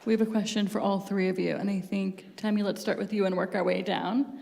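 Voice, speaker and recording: A woman moderates a panel discussion. Her mid-range voice has noticeable creak and echoes in a hall. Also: mid-range voice, little pitch movement, fairly quick, background noise. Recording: reverberant hall.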